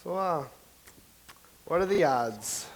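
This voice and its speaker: A man's voice: fairly high pitch, lively intonation, takes it slowly, exaggerated voice, rough recording. A man reading the part of a wry, quizzical playwright. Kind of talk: staged reading.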